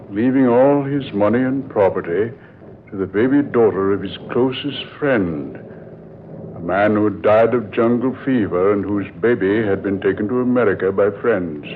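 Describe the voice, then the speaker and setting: An actor playing a grave doctor who calmly unveils a deadly secret in an old-time radio drama, with measured, deliberate storytelling. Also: male voice, on the low side. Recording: muffled, noisy old radio recording.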